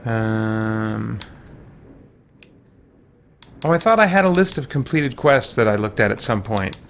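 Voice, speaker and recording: A man's voice: on the low side, very animated, slow, gravelly, noisy background. A man hosting a video game playthrough, musing and thinking aloud in casual commentary as if talking to himself. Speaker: video game let's player, host.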